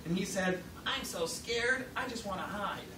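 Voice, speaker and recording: A woman's voice: mid-range voice, normal speed. A woman telling a story live to children in a dramatic manner, feeding short lines to be repeated. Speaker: storyteller leading a children's puppet show. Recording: roomy, distant mic, noisy room.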